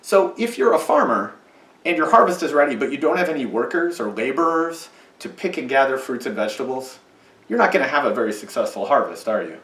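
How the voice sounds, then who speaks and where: A man's voice: mid-range voice, big pitch swings, a little fast, fairly bright tone, roomy sound, recorded from a distance. A man leading a children's message in church, patiently explaining in a sing-song way for kids. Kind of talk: children's sermon with puppet. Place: church sanctuary.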